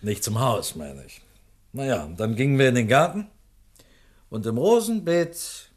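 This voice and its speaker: A man's voice: medium pitch, sing-song, a little slow. A man acting as a world-weary police inspector in a German radio mystery, reporting in a dry, matter-of-fact way. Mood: dry, sceptical.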